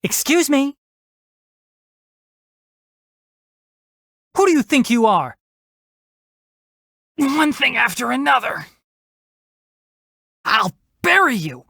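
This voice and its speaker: Young fox-eared forest ranger, game character, very animated pitch, dry wit, articulate, scholarly, close dry sound. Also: male voice, slow pace, creaky, long pauses, husky, no echo, full character voice. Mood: fierce, battle-ready. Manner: precise, articulate, wry, matter-of-fact. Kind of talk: video game character voice lines.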